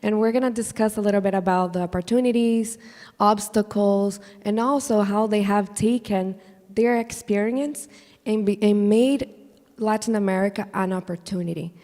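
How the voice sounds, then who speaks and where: A woman's voice: medium pitch, normal speed, dark tone. A woman moderating a conference panel, introducing speakers and putting questions to them. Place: conference hall. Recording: echoey hall with long reverb.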